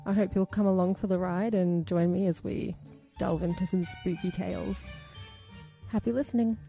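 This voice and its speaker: A woman's voice: lowish voice, fairly even intonation, moderate pace, vocal fry, warm tone, faint music behind. A woman, a podcast host, reading a scripted promo.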